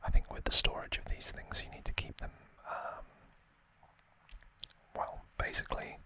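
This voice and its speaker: Man whispering ASMR, sharp and breathy. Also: normal speed. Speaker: ASMR video host. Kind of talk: ASMR, unscripted unboxing commentary.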